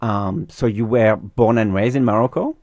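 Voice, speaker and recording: A man's voice: fairly low pitch, normal speed, a little mellow, no room sound, clean studio sound. A man co-hosting a community radio show, chatting casually and laughing often. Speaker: radio co-host.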